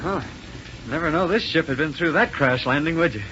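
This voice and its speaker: An actor playing an eager, plucky space hero in a 1930s radio serial, brisk and earnest. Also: male voice, a little high, lightly acted. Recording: dull, noisy 1930s radio recording.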